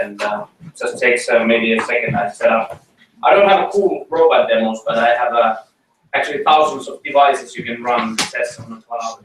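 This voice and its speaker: Finnish-accented male speaker, halting with fillers, recorded from a distance in a very echoey meeting room with faint noise. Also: medium pitch. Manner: hesitant, many ums and uhs. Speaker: conference speaker. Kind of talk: tech meetup presentation. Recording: roomy echo, mic from afar, faint noise.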